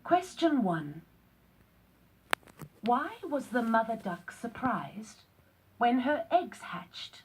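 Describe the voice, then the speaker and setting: A woman giving scripted narration on a children's read-along, with a deliberate, instructional storyteller delivery. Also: on the high side, sing-song, slight background noise, rough recording.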